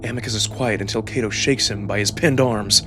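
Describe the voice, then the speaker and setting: A man giving a suspenseful, expressive first-person narration of a dramatic story. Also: lowish voice, fairly quick. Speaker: narrator and voice performer. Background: background music.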